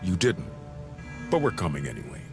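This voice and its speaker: An actor playing a gruff, loyal soldier with a blunt, informal manner in a video game cutscene. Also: male voice, sits low, wildly swooping pitch, a little fast, heavily acted. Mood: wry, concerned. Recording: very loud music behind.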